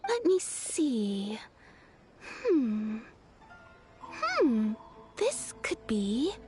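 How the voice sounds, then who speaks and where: A woman's voice: a little high, wildly swooping pitch, very slow, a little mellow, heavily acted. A woman playing a polite, deferential kimono shopkeeper in a video game, speaking formally. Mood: thoughtful. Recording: game music behind the voice.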